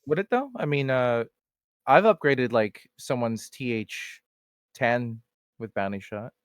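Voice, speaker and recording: Man, podcast co-host, mid-pitched, dry mic, deadpan teasing. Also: unhurried, smooth, dry recording. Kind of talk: casual gaming podcast chat.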